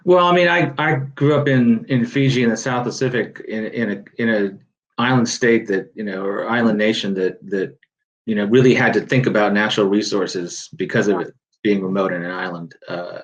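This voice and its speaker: A man's voice: lowish voice, moderate pace. A man speaking as a guest author on a panel, thoughtful and rambling with many restarts. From United States. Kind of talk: panel discussion.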